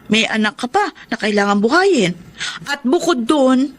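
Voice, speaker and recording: Young bar-girl friend, high voice, Filipino accent, brash, nosy, teasing, exclamatory radio drama. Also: lively intonation, measured pace. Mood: blunt.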